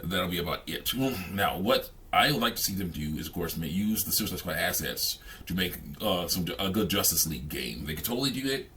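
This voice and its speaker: A man with a fairly low voice hosts an informal game reaction. He rambles haltingly, often humming or chuckling between thoughts, on a home recording with faint noise. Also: animated, pushed and bright. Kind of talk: casual off-the-cuff reaction commentary.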